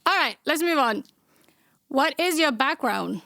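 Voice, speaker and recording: A young adult woman from Somalia with a Somali accent, hosting a casual podcast Q&A and answering viewer questions in a blunt, outspoken way with sarcastic deadpan asides. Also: sits high, unhurried, vocal fry, dry recording, studio quality. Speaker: podcast host.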